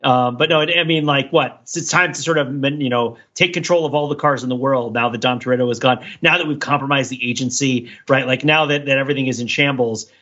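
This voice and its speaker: A man's voice: mid-range voice, steady tone, fast, few pauses, pushed and bright, dull audio. A man giving casual film analysis as a podcast panelist, thinking aloud and checking for agreement.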